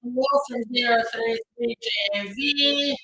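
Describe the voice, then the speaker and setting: Bright-voiced woman on a livestream. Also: on the high side, unhurried. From Ukraine. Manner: chatty and upbeat, trailing fillers. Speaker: immigration lawyer hosting a live stream. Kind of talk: casual live-stream chat, greeting viewers by name. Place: home or office room in New York. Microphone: phone. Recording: echoey room on a phone.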